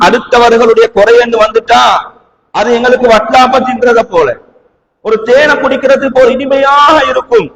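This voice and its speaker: A man's voice: very high-pitched, a bit bright. A man with a Sri Lankan Tamil accent preaching a sermon emphatically, leaning on rhetorical questions. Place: mosque hall. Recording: long hall reverb.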